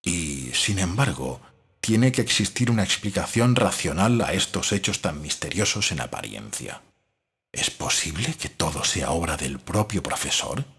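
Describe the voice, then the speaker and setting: A deep, very rough-edged doctor voiced by a man narrates his diary in an audio drama. His delivery swings expressively in pitch while staying formal, brooding and analytical. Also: big pitch swings, measured pace, a bit bright, full character voice. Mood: doubting, brooding. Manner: formal, reflective.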